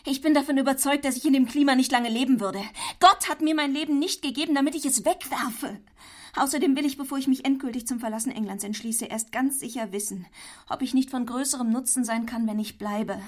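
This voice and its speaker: An actress in a German radio play, playing an earnest, quietly defiant young woman who speaks with measured, firm intensity. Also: high-pitched, close to an everyday voice. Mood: resolute.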